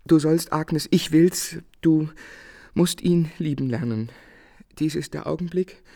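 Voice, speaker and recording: Fervent young painter in love, radio drama, dark-toned, slightly high, halting, often hushed. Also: male voice. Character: anguished young male lover, a painter, impassioned. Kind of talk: radio drama acting of a literary adaptation.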